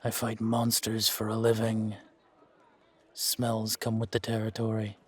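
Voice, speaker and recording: A man playing a gruff, world-weary fantasy monster hunter in an audio roleplay, wry and aloof, with a laconic, deadpan way of talking. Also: lowish voice, unhurried. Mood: dry, weary. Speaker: voice actor in fan-made audio roleplay. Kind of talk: slow in-character audio roleplay.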